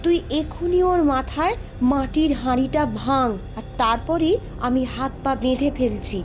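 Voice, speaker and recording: A woman's voice: high-pitched, normal speed, over music, lightly acted. A woman voicing a bold young village princess in a cartoon, with a commanding, dramatic delivery. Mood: defiant, commanding. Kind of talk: cartoon voice acting.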